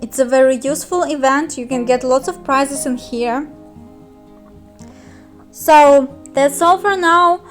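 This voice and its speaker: A woman's voice: high-pitched, measured pace, pauses often, faint music behind. A young woman, a native Russian speaker with a Russian accent, walking through a mobile game in a careful, hesitant tutorial voice full of fillers. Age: young adult. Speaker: game guide youtuber. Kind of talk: screen-recorded game tutorial.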